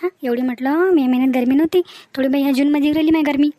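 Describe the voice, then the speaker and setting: Woman playing a high-pitched, gossipy village housewife. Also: fairly even intonation, very fast, mellow, no room sound, natural voice. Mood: chatty complaining. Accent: rural Maharashtrian Varhadi. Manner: chatty, gossipy, emphatic rural talk. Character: talkative, sharp-tongued village housewife who gossips. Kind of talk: comic village dialogue for a cartoon.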